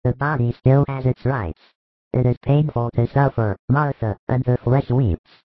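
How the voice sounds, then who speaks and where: A man voicing a gentle religious teacher who consoles a dying friend tenderly. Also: mid-range voice, unhurried, no room sound, phone-quality sound, close to an everyday voice. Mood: tender reassuring. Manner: tender, reassuring.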